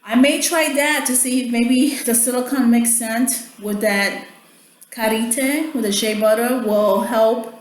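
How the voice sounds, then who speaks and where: A woman whose first language is Spanish, talking casually to viewers about products she bought and often checking in with "okay?". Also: a little high. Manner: chatty, keeps checking in with "okay?". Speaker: beauty vlogger. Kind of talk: casual product haul chat. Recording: echoey room, faint background hum.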